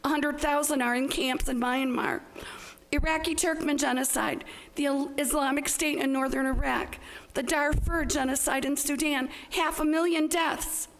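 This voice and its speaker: A woman giving a halting, plain-spoken public comment, partly read out, at a council meeting. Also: high voice, steady tone, a little hiss or hum.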